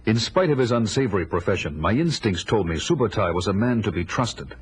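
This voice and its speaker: Deep-voiced, proud barbarian warrior. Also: male voice, animated, fairly quick, breathy edge. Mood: dramatic narration. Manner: grandiose, archaic, declamatory. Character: proud, vengeful barbarian warrior telling his tale. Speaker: hero and narrator. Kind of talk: 1980s audio drama, dramatic storytelling.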